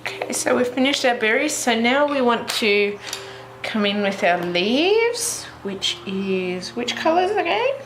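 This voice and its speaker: A woman's voice: fairly high pitch, sing-song, a little slow. A woman hosting a craft tutorial in a casual, chatty way, thinking aloud as she goes step by step. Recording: roomy echo, light background noise.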